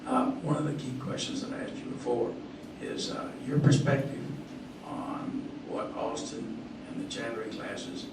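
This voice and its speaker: A man in the audience with a raspy voice, asking the speaker a question in a halting way with fillers. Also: mid-range voice. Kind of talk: asking a question at a public talk. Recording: echoey room, far from the mic, loud noise.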